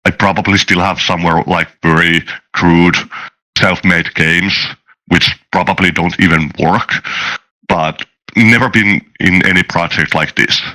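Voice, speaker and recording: A man chatting casually as a guest in an interview, talking in a halting, rambling way. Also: sits low, fairly even intonation, sharp tone, low-quality recording. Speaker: guest, tabletop game designer and dungeon master. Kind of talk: casual chat, interview answers.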